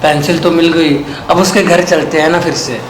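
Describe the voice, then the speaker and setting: A man's voice: fairly high pitch, fairly even intonation, moderate pace, a little hiss or hum, close to an everyday voice, low-quality recording. A man playing a naive, lovesick young friend in a comedy skit, with a slightly whiny manner. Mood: eager.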